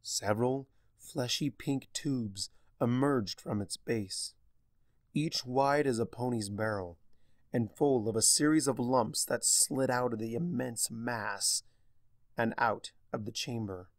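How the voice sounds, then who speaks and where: A man's voice: medium pitch, slow pace, dry recording, studio quality. A man reading a fantasy story aloud as its narrator, in a dramatic scripted style. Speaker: fan fiction narrator.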